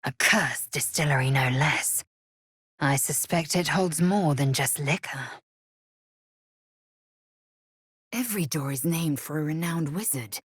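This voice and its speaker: A woman's voice: low-pitched, normal speed, fairly bright tone, slightly rough, no echo, studio quality. A woman playing a fierce, imperious young Githyanki alien warrior in a video game, with a clipped, haughty, commanding way of speaking and formal phrasing. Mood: wary, observant. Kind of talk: video game character voice lines.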